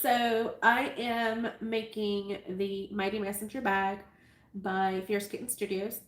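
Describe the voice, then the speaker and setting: A woman's voice: mid-range voice, flat delivery, slow pace. A woman with a stuffy, nasal voice hosting a casual live sewing tutorial, chatty and self-amused as she greets viewers. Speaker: sewing livestream host. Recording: echoey room with faint noise.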